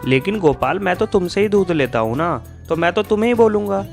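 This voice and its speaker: A man puts on a pushy office-going man in a cartoon moral story. He talks in an insistent, accusing way, fairly high for a male, over music. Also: expressive, a little fast, gravelly. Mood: indignant. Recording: music behind the voice.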